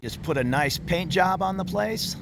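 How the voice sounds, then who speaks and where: A man's voice: high-pitched, expressive, moderate pace, gravelly. A man talking casually as he vlogs, rambling and chatty with plenty of fillers, out on a city street. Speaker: expat vlogger. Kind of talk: casual walking vlog. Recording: street traffic noise behind.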